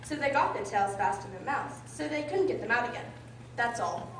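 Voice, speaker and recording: In an echoey room, a know-it-all old gryphon acted by a woman gives brisk orders and explanations in a fairly high voice. The radio-drama performance is picked up from a distance, with faint noise. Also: expressive. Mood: explaining. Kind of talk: amateur radio drama acting.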